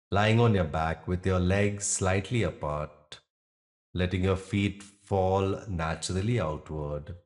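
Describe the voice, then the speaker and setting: Slow, low-voiced male meditation guide. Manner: soothing, unhurried, instructional with pauses. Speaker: yoga nidra meditation guide.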